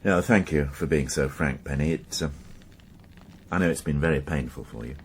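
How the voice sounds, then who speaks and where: An actor playing a quietly sympathetic police inspector in a radio drama, putting short, probing questions. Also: male voice, a bass voice, moderate pace, slight background noise. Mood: gentle, dry. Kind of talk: naturalistic radio drama acting.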